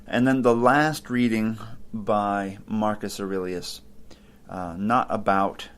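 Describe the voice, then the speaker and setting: A man's voice: fairly low pitch, steady tone, slow, clean tone. A man hosting a podcast, talking casually and thinking aloud with fillers and restarts. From Ohio, United States. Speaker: podcast host. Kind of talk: casual podcast commentary on philosophy.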